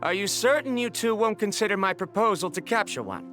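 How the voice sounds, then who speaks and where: A man's voice: high-pitched, animated, fairly quick, sharp tone, soft background music. A man playing an obsessive, socially awkward young male scholar in a video game, formal and blunt. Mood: earnest, insistent. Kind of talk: video game character acting.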